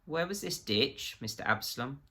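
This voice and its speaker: A man's voice: mid-range voice, expressive, moderate pace, sharp tone, voice pushed up. A man playing a prissy, menacing government agent in a story, officious, pompous and precise. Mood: icy condescension.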